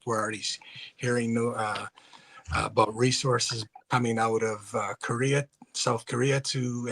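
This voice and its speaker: A man from Canada, giving measured, analytical commentary on a live panel with frequent fillers. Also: mid-range voice, fairly bright tone.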